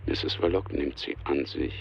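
Sober scene narration for a radio drama. The voice is deep and full of creak, terse and matter-of-fact, with a dulled, radio-like tone. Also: male voice, normal speed, muffled recording, a little hiss or hum. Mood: detached. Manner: matter-of-fact, terse present-tense delivery.

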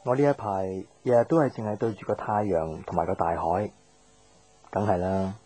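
A man's voice: sits low, animated, moderate pace, warm tone, husky. A man playing an earnest young man in love in a radio drama, sincere and understated, with a Hong Kong accent. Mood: friendly. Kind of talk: 1990s radio drama acting. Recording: radio drama over guitar music.

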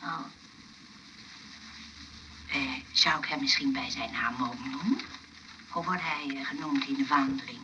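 An actress playing a fussy, hospitable woman who runs a rest home, halting and chattering as she goes. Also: extremely low pitch, muffled recording. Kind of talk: radio play acting. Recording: slightly noisy, distant radio play recording.

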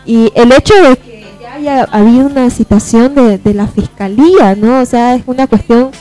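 A woman's voice: on the high side, moderate pace, warm tone, faint music behind, poor audio. A woman panelist in a live radio discussion, speaking hesitantly with frequent tag questions. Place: radio studio.

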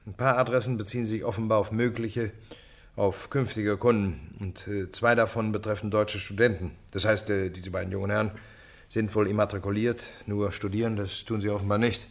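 An actor playing a calm, middle-aged male police detective in a radio drama, measured and dryly ironic. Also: lowish voice, lightly acted. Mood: matter-of-fact. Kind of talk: German radio drama acting.

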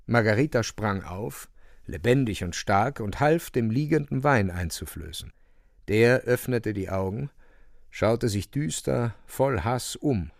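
Radio play narrator, male: solemn literary storytelling, creaky mid-range voice, very dry sound. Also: medium pitch, expressive, measured pace, dry recording.

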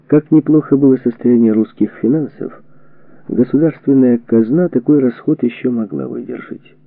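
A man reading a historical book as a scripted audiobook narration, in a measured, deliberate way with weighted pauses, on a narrow lo-fi recording. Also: mid-range voice, vocal fry, dry recording. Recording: narrow phone-like band, lo-fi audio.

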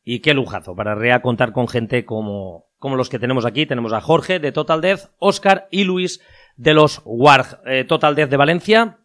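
A man with an accent from Spain hosting a podcast, introducing a round-table chat in an informal, halting way that repeats phrases. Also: mid-range voice, fairly bright tone. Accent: Spain Spanish. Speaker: podcast host.

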